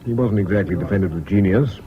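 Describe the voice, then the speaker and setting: A man's voice: lowish voice, fairly quick, natural voice. An actor with a British accent playing a resigned, matter-of-fact man in a 1970s radio drama. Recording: dull, clearly noisy old radio recording.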